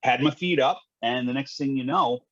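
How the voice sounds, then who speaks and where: A husky-voiced man recounts things in a matter-of-fact, colloquial way, with some salty language. He sounds like a podcast guest telling stories. The audio is dry and a little dull. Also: mid-range voice, big pitch swings, moderate pace, dry recording. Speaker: guest, retired fire dispatcher. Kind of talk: conversational podcast storytelling.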